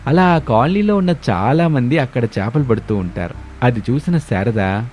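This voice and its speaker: A man narrating an animated moral tale as a scripted storyteller. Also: mid-range voice, normal speed, a little hiss or hum.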